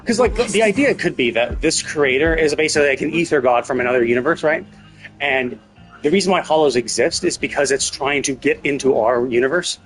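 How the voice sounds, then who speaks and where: A man's voice: a little high, animated, a little fast, poor audio. A man streaming casual game commentary, thinking aloud and rephrasing himself as he speculates about a story. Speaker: live streamer. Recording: music playing behind the voice. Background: game music.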